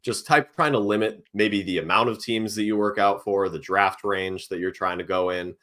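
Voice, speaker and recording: A man from New England co-hosting a sports podcast in relaxed, easygoing chat. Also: fairly low pitch, normal speed. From New England, United States. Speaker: podcast co-host.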